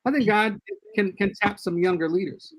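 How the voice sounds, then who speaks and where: Older man giving earnest advice. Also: high-pitched. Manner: earnest, measured advice with restarts. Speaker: guest church leader. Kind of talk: casual podcast discussion.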